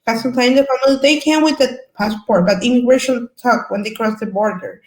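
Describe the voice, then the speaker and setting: A young woman from El Salvador, a Spanish speaker with a Salvadoran accent, telling her own story on a discussion panel in halting English as she searches for words. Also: fairly high pitch, roomy sound, low-quality recording. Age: young adult.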